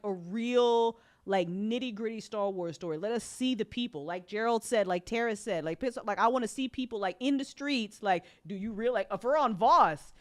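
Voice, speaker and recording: A woman in a casual, opinionated fan discussion, talking bluntly and emphatically in slangy, loose phrases. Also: fairly high pitch. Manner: emphatic, blunt, sweary, punctuated with "like".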